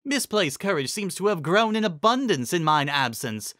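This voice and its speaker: A man acts out a haughty alicorn queen from a fantasy storybook. The voice is lifted and bright, with grandiose, old-fashioned phrasing and a commanding, easily angered temper. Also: sits high, big pitch swings, moderate pace, no room sound, studio quality, pitched above the performer's own voice, exaggerated voice. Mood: condescending. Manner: grandiose, archaic, commanding speech. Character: imperious, wrathful alicorn queen of the sun, haughty and archaic.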